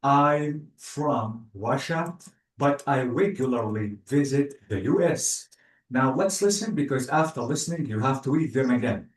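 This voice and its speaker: A man teaching an English class online, speaking slowly and patiently and praising the student often. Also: medium pitch, lively intonation. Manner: slow, patient, spells out word endings, praises with "bravo". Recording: echoey, distant room sound.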